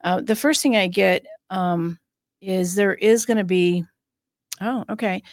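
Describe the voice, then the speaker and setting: A woman's voice: medium pitch, measured pace, dry recording, studio quality. A woman hosting a spiritual podcast as a psychic medium, talking in a chatty way with frequent fillers and restarts. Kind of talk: casual podcast chat.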